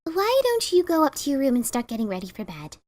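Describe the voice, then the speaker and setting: A woman's voice: high voice, wildly swooping pitch, fast, a little mellow. A woman voicing a guarded, curt mother pony mare in a cartoon fan story reading. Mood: motherly.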